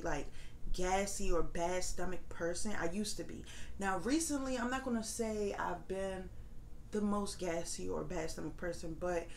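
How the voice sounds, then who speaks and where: A woman's voice: on the low side, a little hiss or hum. Congested young woman chatting candidly. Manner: candid, rambling, many fillers. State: congested, nasal voice. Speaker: vlogger. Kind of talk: casual pregnancy update. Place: living room sofa at home.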